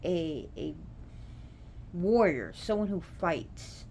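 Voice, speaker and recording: A woman giving an informal, unscripted explanation, halting as she searches for words. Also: on the low side, very animated, darkish tone. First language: not Gaelic. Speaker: presenter explaining names of a Celtic deity. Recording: clear background noise.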